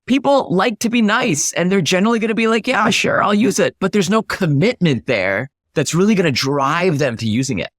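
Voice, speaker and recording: A man with a somewhat high-pitched voice talks as a guest in an interview. He explains things in an instructive, emphatic way. The sound is very dry, with no room echo. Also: animated, brisk, few pauses, a bit bright. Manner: emphatic, instructive, illustrates points with acted-out example questions. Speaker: interview guest, startup founder.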